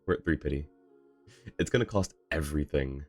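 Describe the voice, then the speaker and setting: A very deep-voiced man chats loosely as a game streamer. His delivery is halting and conversational, with soft music behind him. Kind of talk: casual livestream chat.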